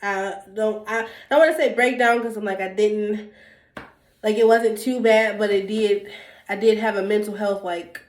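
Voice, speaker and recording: A woman's voice: mid-range voice, fairly even intonation, normal speed. A young woman with a stuffy nose from a cold, talking candidly to her viewers in a rambling, casual way with lots of ums and restarts. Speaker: YouTuber. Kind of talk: casual sit-down talk to camera. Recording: echoey room.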